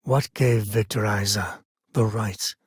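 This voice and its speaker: An actor playing an easygoing, wry space cowboy and single father in a video game, husky and rough-edged with a folksy, conversational manner. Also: on the low side, steady tone, takes it slowly, no echo, clean studio sound. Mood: worried, tense. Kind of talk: video game character dialogue.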